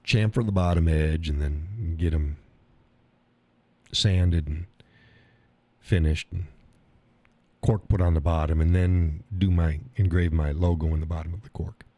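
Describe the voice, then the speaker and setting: Low-voiced man, stuffy, rambling. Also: steady tone, unhurried, long pauses, dark tone, dry recording. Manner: rambling, folksy, reads chat aloud. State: stuffy nose. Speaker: live stream host. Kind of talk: casual live stream chat. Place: home workshop room.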